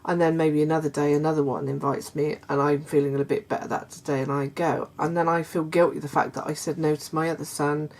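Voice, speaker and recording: A middle-aged woman, chatting casually to her viewers in a croaky voice, hesitant with many fillers and restarts. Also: low-pitched, little pitch movement, brisk, few pauses, a little hiss or hum. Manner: warm confiding tone toward viewers. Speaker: vlogger. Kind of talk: casual chat to camera.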